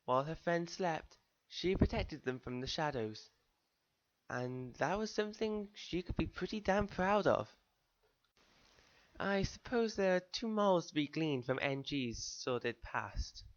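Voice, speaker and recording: A man's voice: fairly high pitch, lively intonation, unhurried, dull audio. A man reading fan fiction as an earnest first-person dramatic narration, addressing his listeners directly on an amateur recording.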